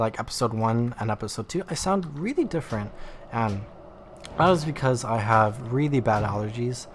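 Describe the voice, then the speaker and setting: A man with a slightly nasal, stuffy voice talks through his gameplay in a loose, rambling way, leaning on filler words as he goes. His pitch sits in a middling range. A faint noise floor hangs under the recording. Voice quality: nasal from allergies. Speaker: gaming YouTuber. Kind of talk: casual let's play commentary.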